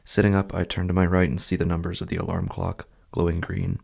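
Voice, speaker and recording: A man performs an uneasy, sleepless husband narrating a horror podcast in the first person. His voice is low and husky, thick with gravelly creak. He speaks in a hushed, deliberate way, leaving long pauses. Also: little pitch movement, exaggerated voice. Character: uneasy, sleepless husband haunted by faint night sounds.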